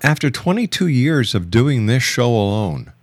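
A man's voice: medium pitch, sing-song, moderate pace. A middle-aged man hosting a radio talk show, speaking insistently and emphatically and repeating phrases for effect. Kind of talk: radio interview with argumentative commentary.

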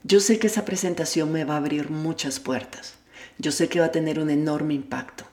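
Low-voiced woman coaching in an echoey room. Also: a little fast, warm tone. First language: Spanish. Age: adult with a child at home. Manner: candid, self-deprecating, addresses the listener directly. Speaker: life coach and podcast host. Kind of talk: motivational monologue.

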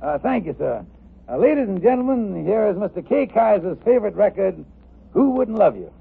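A good-natured, folksy everyman hosting an old radio comedy. His voice is high and very husky, with a gravelly edge. He talks earnestly and politely in homespun grammar. The sound is thin and boxy, like a vintage broadcast. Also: big pitch swings, moderate pace, heavily acted. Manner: folksy, ungrammatical, polite and deferential. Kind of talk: 1950s radio comedy acting. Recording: muffled, narrow-band old radio broadcast.

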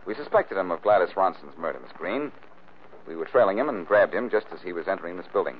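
An old, narrow-band radio recording with faint noise carries a sardonic sleuth. He is cool and wisecracking, with a lowish voice that swings widely as he needles and explains, in 1940s drama style. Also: lively intonation, fast talker. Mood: probing, wry.

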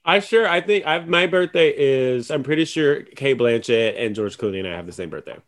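A man's voice: medium pitch, lively intonation, brisk. A man chatting casually as a regular podcast guest, sassy and blunt in manner. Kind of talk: casual banter.

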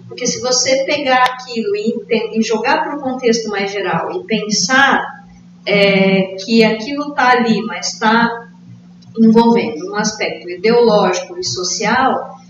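A woman's voice: a little high, unhurried. Echoey, distant Brazilian woman explaining books. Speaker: literature expert guest. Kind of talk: casual book discussion. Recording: echoey, distant, dull, noisy room recording.

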